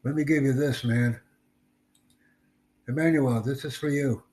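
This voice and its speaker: A man runs a live online English lesson over a video call. His pitch sits in an ordinary middle range, and he talks in an upbeat, repetitive way, tossing in simple Spanish greetings. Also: fairly even intonation, a little slow, dark tone. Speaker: English teacher streaming a live class. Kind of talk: casual live-stream chat.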